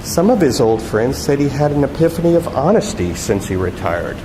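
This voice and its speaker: A man reading the part of a bright, sly, mock-polite woman television reporter in a novel. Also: medium pitch, sing-song, moderate pace. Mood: sly, needling. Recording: echoey hall, loud background noise.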